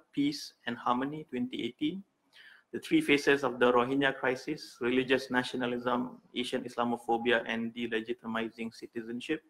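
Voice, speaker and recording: Flat, gravelly man reading an introduction. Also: mid-range voice, low-quality recording. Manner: reads lists flatly with many fillers. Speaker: event moderator.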